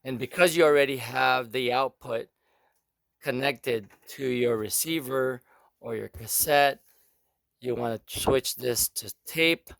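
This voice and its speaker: A man hosting a casual how-to demonstration in a halting way, thinking aloud as he goes. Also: medium pitch, gravelly, a bit bright, no echo. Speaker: video tutorial host.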